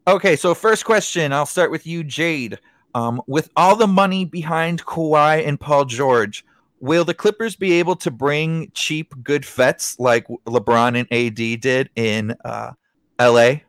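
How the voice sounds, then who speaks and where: A man's voice: a little high, unhurried, fairly bright tone, no echo. A man hosting a sports podcast, joking and loose, reading an ad and asking the questions. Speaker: podcast host. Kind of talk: casual sports podcast chat.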